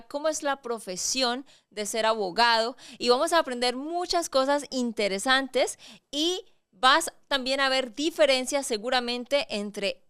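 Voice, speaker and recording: A woman from Colombia, a Spanish teacher hosting an interview, speaking in a friendly, clear teacherly way with a Bucaramanga Colombian accent. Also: high voice, a bit bright. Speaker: podcast host and Spanish teacher. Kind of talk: conversational interview.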